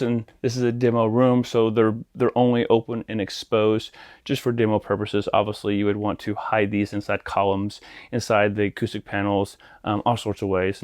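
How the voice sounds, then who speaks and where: A man with a fairly low voice guides viewers through an informal tour. He talks off the cuff and speaks to them like friends. The sound is dry, with little room echo. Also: a little mellow. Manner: informal, addressing viewers as guys. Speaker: tour guide and vlog host. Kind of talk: casual off-the-cuff walkthrough.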